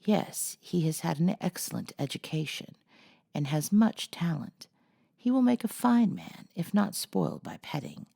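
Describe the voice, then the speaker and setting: Woman playing a measured, kindly stern mother. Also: on the low side, big pitch swings, vocal fry, mellow, voice pushed up, full character voice. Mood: warm approval. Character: gentle but firm, principled mother of girls.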